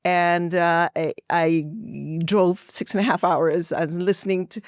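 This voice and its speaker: A woman's voice: fairly low pitch, steady tone, unhurried, no room sound, clean studio sound. A middle-aged woman from India talking as an interview guest, telling personal stories conversationally and acting out bits of remembered dialogue. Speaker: podcast guest, actor.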